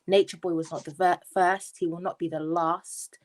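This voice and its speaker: A woman speaking as a guest on a live online panel, her voice on the low side. She explains her point earnestly, with frequent fillers along the way. She is heard over a video-call mic. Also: level tone, moderate pace, darkish tone. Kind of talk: casual panel chat.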